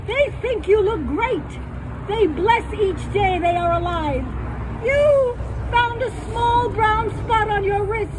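Older woman reading poems with dramatic leaps. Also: noisy background. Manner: animated, dramatic delivery with sudden leaps up in pitch. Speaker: poet. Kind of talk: poetry reading. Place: small outdoor stage under trees at a festival.

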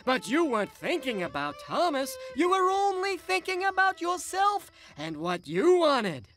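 Slow, solemn old prophet. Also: male voice, extremely high pitch, huge pitch swings, vocal fry, fairly bright tone, full character voice. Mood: reproving. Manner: solemn, emphatic, deliberate. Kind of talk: cartoon parable acting. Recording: music under the voice. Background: music.

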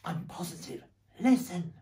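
A storybook reader voicing a brave, determined father fox in an animated read-aloud. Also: unhurried, close to an everyday voice. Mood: urgent alarm.

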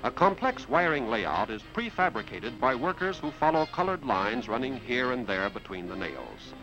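A man's voice: medium pitch, sing-song, moderate pace, bright, forceful tone. An American man reading a scripted 1950s industrial film narration in an explanatory announcer's delivery. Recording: muffled 1950s film soundtrack with music. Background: background music.

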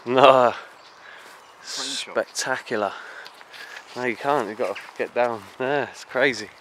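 A young British man vlogging as he explores abandoned grounds outdoors, excitable and slangy, often hushed, recorded on a handheld camera. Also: medium pitch, slow. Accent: British English. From England. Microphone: handheld action camera. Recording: noisy outdoor camera audio.

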